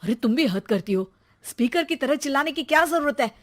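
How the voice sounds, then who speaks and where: A woman's voice: sits high, expressive, fairly quick. A woman voicing an irritated office-working father, complaining dryly in a children's cartoon story. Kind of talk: animated children's comedy story.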